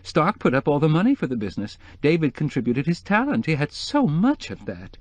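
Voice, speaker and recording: A man voicing a distraught middle-aged woman who keeps breaking into tears, her voice quavering and climbing high. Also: lively intonation, moderate pace, a little mellow, lightly acted. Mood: tearful.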